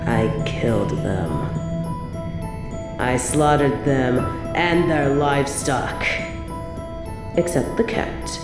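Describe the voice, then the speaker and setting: A woman's voice: extremely low pitch, animated, slow, pauses often, echoey room, over loud music, exaggerated voice. A woman playing an evil, possessive queen in an audio drama, theatrical and menacing with mock tenderness. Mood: cold, menacing. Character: evil obsessive possessive queen, cruel, gloating, sadistic. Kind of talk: scripted audio roleplay drama, addressed to the listener.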